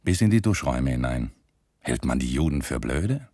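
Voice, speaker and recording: Radio drama: petty, callous camp sergeant; extremely low voice, dry close sound, officious grumbling. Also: male voice, sing-song, moderate pace, darkish tone, slightly rough, no room sound, full character voice. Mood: aggrieved, callous. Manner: complaining, officious. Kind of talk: diary monologue in a radio drama.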